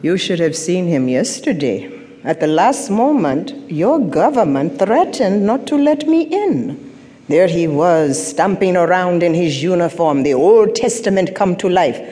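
An actress playing a haughty, sardonic middle-aged African mother of a dictator in an audio drama, speaking in measured, cutting phrases. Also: lowish voice, sing-song, dark tone. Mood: sardonic, proud. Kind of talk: audio drama stage acting. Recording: reverberant theatre-like hall.

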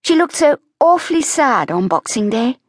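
A glamorous, daring young socialite with a bright, teasing lilt, as a woman reads her lines. The voice sits well above the reader's own, light and playful. Dry, close storybook reading. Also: sits high, big pitch swings, normal speed, no echo, voice pushed up. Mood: breezy.

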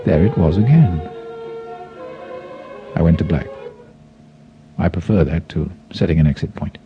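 A man narrating a first-person memoir as a scripted audiobook, with a husky voice and dramatic, deliberate storytelling phrasing. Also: low voice, music in the background. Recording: dull lo-fi audio.

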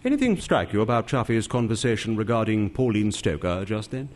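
An actor playing a flippant young upper-class British gentleman, droll and excitable, in a comic audio drama. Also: on the low side, a little fast. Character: genteel, flippant young English gentleman, narrating his misadventures.

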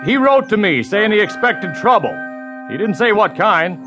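A calm Old West lawman with an American accent. His voice sits a little high and moves expressively. He is friendly yet probing, with plain folksy talk, as in an audio Western. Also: music in the background. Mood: firm, probing. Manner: folksy, measured questioning. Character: calm, steady Texas Ranger, friendly but probing. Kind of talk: Western audio drama acting.

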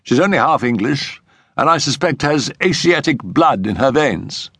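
Man acting anxious male doctor, earnest, frank, raised pitch, storybook character, husky. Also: animated, moderate pace, a bit bright, no echo, clean studio sound. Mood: confiding.

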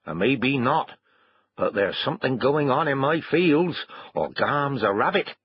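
A man's voice: medium pitch, expressive, unhurried, husky, highs cut off. A man voicing a grumpy, blustering farmer who scolds in rustic phrasing, for a storybook reading. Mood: uneasy grumbling.

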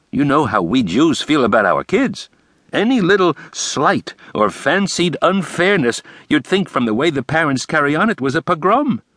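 Theatrical fortyish male principal, high and animated, fussy and indignant, voiced by a male reader. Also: no room sound, clean studio sound. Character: bouncy flamboyant fortyish male school principal.

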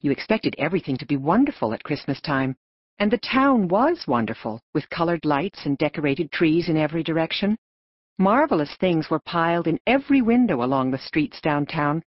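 A woman narrating an audiobook story in an expressive storytelling manner. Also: low voice, moderate pace, no echo, dull audio.

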